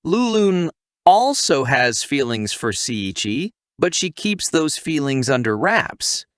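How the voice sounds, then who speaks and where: Lively male recap narrator, dry close sound. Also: fairly high pitch, slow pace, no echo. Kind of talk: scripted anime recap narration.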